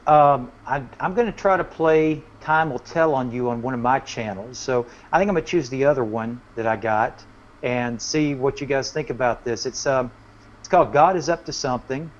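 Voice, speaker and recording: Male guest musician, unhurried rambling chat, understated delivery, muddy low-fidelity recording. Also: highs cut off, slight background noise. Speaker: guest singer-songwriter. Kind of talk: casual chat.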